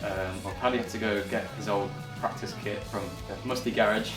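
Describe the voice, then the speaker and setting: A man's voice: lowish voice, fairly even intonation, a little fast. A twenty-year-old man, telling a story wryly in an interview. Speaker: band's lead guitarist. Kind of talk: documentary interview. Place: band practice room. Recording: echoey room, far from mic, music behind.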